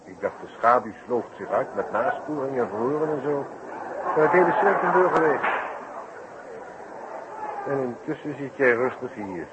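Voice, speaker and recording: An actor playing a brisk, teasing colleague of a detective in a 1960s radio drama. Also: male voice, medium pitch, expressive, lightly acted. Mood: reproachful. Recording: muffled, noisy old radio recording.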